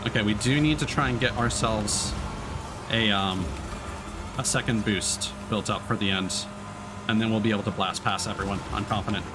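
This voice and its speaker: A man's voice: fairly low pitch, steady tone, normal speed, over music. A man livestreaming a video game, giving casual, explanatory commentary. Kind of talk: casual live gaming commentary.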